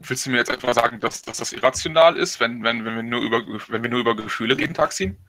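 A man's voice: medium pitch, fast talker, sharp tone. A man in a casual satirical group discussion, often stammering and repeating words as he builds his point. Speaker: panelist on a live talk show.

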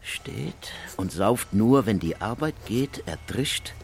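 A man playing an old-time inventor, reciting a rhyming advertisement in a deliberate, declamatory manner. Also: lowish voice, expressive, vocal fry, a little hiss or hum, full character voice. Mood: proud, folksy.

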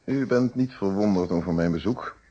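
An actor in a Dutch radio play playing a courteous, methodical police inspector with formal, deliberate questioning. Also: male voice, low-pitched, mellow, husky. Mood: courteous, probing. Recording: dull, band-limited old radio recording.

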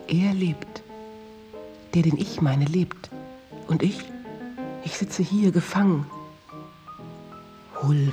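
An actress playing a proud, infatuated dancer in prison in a German radio play, dismissive, passionate and dramatic. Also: female voice, low voice.

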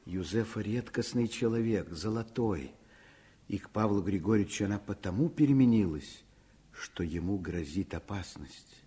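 Decent firm doctor dad, raspy voice, lively pitch, old radio play. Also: male voice. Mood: gentle, grave. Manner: earnest, measured, firm. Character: principled male doctor, caring father, firm and indignant. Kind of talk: Soviet radio play acting.

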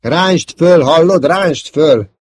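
Man acting an excitable young pilot, high urgent calls, husky edge, audiobook space battle. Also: no echo, studio quality. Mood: frantic. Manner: high-flung, urgent shouts. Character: Biggs, excitable loyal young male fighter pilot.

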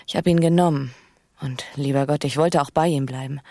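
An actress playing a bitter, passionate, scheming young wife in a naturalistic radio drama, speaking with urgent intimacy and slipping into whispers. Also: female voice, deep voice, steady tone, moderate pace, warm tone, no echo, studio quality. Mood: bitter, weary.

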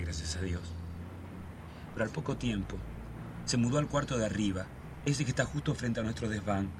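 A man's voice: medium pitch, noisy background, lightly acted. An actor playing an agitated, suspicious man who sees conspiracies in his neighborhood, in a radio drama.

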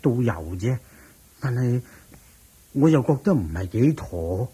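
An actor playing a thrifty, earnest, somewhat naive rich merchant in a radio drama, questioning and hesitant, with a Hong Kong Cantonese accent. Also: male voice, medium pitch, big pitch swings, measured pace, dark tone, husky, heavily acted. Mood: troubled.

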